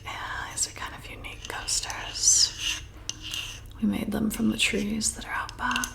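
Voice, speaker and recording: A slow, whispering female vampire bartender, acted by a woman, flirty and softly seductive. Her hushed voice is heavily creaky and intimate, coaxing the listener in an ASMR roleplay. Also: a little high, level tone. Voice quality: breathy whisper with creaky edges. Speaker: ASMR performer.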